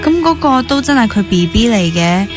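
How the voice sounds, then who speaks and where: A woman's voice: on the high side, sing-song, normal speed. An actress with a Hong Kong Cantonese accent playing an inquisitive, teasing young woman who dances, in a radio drama. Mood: playful, teasing. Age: young adult. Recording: music playing behind the dialogue.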